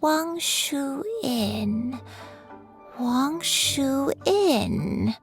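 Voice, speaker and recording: A gentle old grandmother in a video game. She speaks very slowly in a high voice, halting and wistful, with music underneath. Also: animated, full character voice. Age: older. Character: gentle, forgetful old granny, dreamily reminiscing. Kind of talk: video game character dialogue.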